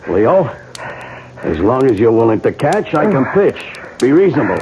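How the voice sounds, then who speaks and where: An actor playing a greedy small-time crook in a 1970s radio drama, with streetwise slang, cajoling and threatening by turns. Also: male voice, medium pitch, very animated, moderate pace, highs cut off, lightly acted. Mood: cajoling, menacing. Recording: noisy old radio broadcast recording.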